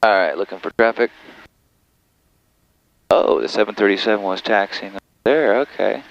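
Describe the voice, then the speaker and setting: A man's voice: on the low side, normal speed, creaky, dull audio. A man who is a student pilot, talking himself through each step of flying into an aviation headset. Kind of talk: self-narrated cockpit commentary. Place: small aircraft cockpit in flight. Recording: noisy aircraft cabin, engine drone. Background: aircraft engine noise.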